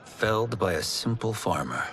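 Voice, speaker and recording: An actor playing a humble, earnest young farmer turned fighter in a video game, speaking politely. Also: male voice, fairly low pitch, expressive, normal speed, a bit bright, faint music behind. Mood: earnest, determined. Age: young adult. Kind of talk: cinematic video game voice acting.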